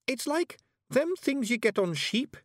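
A man voicing a tiny, nervous, learned young fairy bard, squeaky and earnest. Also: sing-song, unhurried, dry recording, full character voice. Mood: hesitant.

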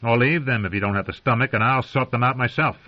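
A man voicing a rough, menacing male wildling with coarse, rustic speech. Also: mid-range voice, fast talker, voice pushed up, lightly acted. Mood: surly. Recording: muffled lo-fi recording.

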